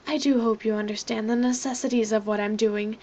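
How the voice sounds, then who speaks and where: A woman's voice: fairly high pitch, steady tone, a little fast, mellow, lightly acted. A woman playing a cold, ominous figure who is formal, composed and politely apologetic in a horror audio drama, on a slightly dull microphone.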